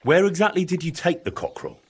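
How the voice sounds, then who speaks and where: A young medieval adventurer from a video game. He is curious and cheeky, with a husky voice that swings widely in pitch. His delivery is casual, persuasive and very expressive. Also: quick pace, heavily acted. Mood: curious, coaxing. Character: young man in medieval Bohemia, posing as student, cheeky, curious. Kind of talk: dramatic game dialogue acting.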